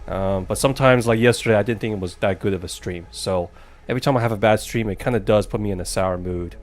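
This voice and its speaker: A man doing casual live commentary as he plays a game, chatty and wry with halting reactions. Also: lowish voice. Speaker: game streamer. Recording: faint game music behind.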